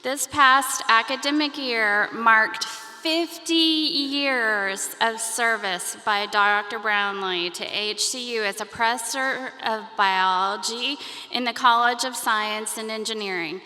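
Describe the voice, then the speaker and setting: Bright-voiced woman presenting awards in a hall. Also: on the high side, slow pace. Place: banquet hall.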